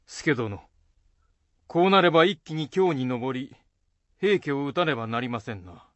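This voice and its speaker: A man's voice: medium pitch, lively intonation, normal speed, fairly bright tone, no echo, natural voice. A man playing a hearty, ambitious samurai lord with bluff archaic speech in a period radio drama. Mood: rallying.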